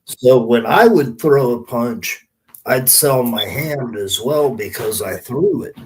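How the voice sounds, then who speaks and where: A man in casual podcast chat, explaining things in a halting way, repeating and rephrasing as he comments on a match. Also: mid-range voice, big pitch swings, darkish tone, a little hiss or hum, rough recording. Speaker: podcast co-host, former wrestler.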